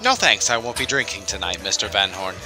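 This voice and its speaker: A man's voice: lowish voice, big pitch swings, a little fast. A man playing a prim, pompous, fussy schoolmaster with formal, prissy speech in an audio drama. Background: music.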